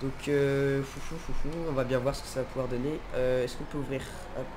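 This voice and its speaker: A man's voice: medium pitch, flat delivery, fairly quick, background noise. A man with a nasal, stuffed-up voice from a head cold, giving casual, hesitant let's play commentary as he thinks aloud. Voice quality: congested, nasal. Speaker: gaming video host.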